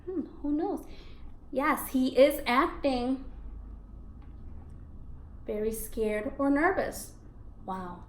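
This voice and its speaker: A woman teaching young children in a read-aloud lesson, encouraging and expressive, asking questions and confirming answers. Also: sits high, drawn-out words, pauses often. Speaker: elementary school teacher. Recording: echoey room with background noise.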